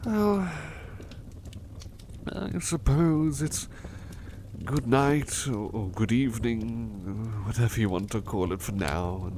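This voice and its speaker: Slow-talking, devoted weary butler played by a man. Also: on the low side, animated, a little mellow, a little hiss or hum. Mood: sleepy, tender. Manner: hesitant, halting, polite old-fashioned phrasing, affectionate. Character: overworked, devoted, weary butler resting affectionately on partner's lap. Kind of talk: ASMR roleplay, intimate personal attention.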